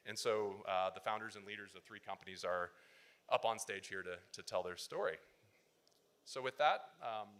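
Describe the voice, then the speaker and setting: A man's voice: fairly low pitch, a bit bright. A man moderating a panel in a conference hall, upbeat and encouraging, with fillers and restarts.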